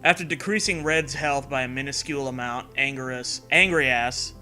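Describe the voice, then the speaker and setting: A man's voice: medium pitch, normal speed, sharp tone, soft background music. A man reading a scary story as a narrator, with dramatic, earnest delivery. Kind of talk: dramatic scripted narration of a creepypasta.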